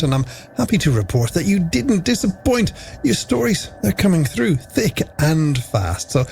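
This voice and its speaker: A man's voice: on the high side, creaky, darkish tone. A man presenting a late-night music radio show in chatty, playful, scripted patter.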